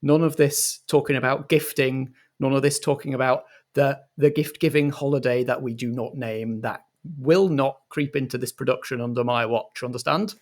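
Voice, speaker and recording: A pompous radio director, acted by a man in a comic audio drama. He has a medium-pitched voice and talks in halting, officious phrases, touchy about how his production is run. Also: steady tone, lightly acted. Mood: stern, annoyed.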